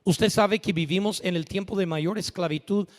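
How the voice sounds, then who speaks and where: Male preacher, high-pitched, emphatic sermon delivery, recorded in a church with a long echo. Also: moderate pace. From United States. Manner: emphatic, rising to shouts at peaks. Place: church sanctuary. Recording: church hall reverb.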